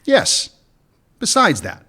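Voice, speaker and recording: A man acting a smooth-talking gun runner in a radio play, wry and bargaining, with dry jokes. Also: sits high, wildly swooping pitch, slow pace, sharp tone, exaggerated voice. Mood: scheming.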